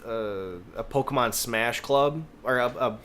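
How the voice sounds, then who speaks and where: A man's voice: medium pitch, a little slow, crowd noise. A man co-hosting a podcast, telling rambling anecdotes in casual chat and swearing freely. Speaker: podcast host. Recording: noticeable background noise.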